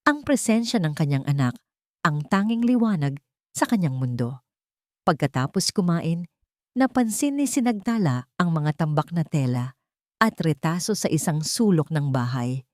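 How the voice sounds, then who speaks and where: Woman, storyteller, low pitch, dry studio-like sound, scripted dramatic narration. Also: sing-song, moderate pace, darkish tone, no echo.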